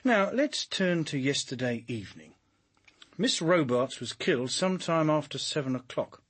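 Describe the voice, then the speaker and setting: An actor playing a composed police chief inspector in a radio crime drama, questioning politely and methodically. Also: male voice, on the high side, sing-song, husky. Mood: probing, formal. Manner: polite, probing, measured questioning.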